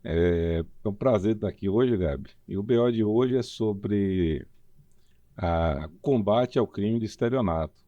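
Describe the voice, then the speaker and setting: A middle-aged man, chatting as an interview co-host in halting, hesitant phrases. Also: on the low side, no room sound, muffled recording. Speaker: podcast co-host. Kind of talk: unscripted interview chat.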